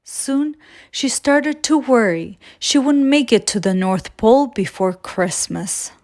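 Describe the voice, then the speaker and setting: A woman reading a storybook aloud for children with deliberate, dramatic phrasing. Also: on the high side, expressive, darkish tone. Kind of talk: expressive storybook read-aloud.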